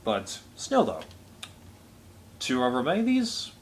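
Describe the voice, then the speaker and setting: A man giving a casual, unscripted review as a reviewer, talking in a rambling, measured way. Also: medium pitch, wildly swooping pitch, a little hiss or hum.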